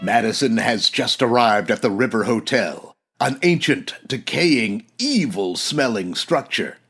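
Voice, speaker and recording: A man performs a male narrator for a comic 1940s-style radio serial. He is earnest and formal, with melodramatic, descriptive delivery. His voice sits at a medium pitch. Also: expressive, unhurried, fairly bright tone, soft background music. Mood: dramatic.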